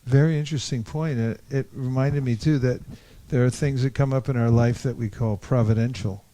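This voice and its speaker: A man leading a reflective group discussion in a thoughtful, halting way, often correcting himself as he goes. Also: mid-range voice, fairly even intonation, mellow. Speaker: discussion leader at a scripture class.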